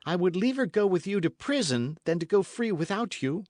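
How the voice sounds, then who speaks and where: A man's voice: high voice, big pitch swings, moderate pace, dry recording, clean studio sound, voice pushed up. A man voicing a timid but stubborn young lad who pleads plaintively in old-fashioned words. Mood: stubborn, pleading.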